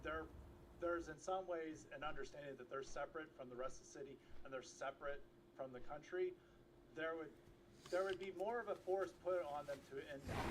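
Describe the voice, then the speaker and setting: A man on a podcast, debating politics in a halting, hedging way. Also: mid-range voice. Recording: noisy background.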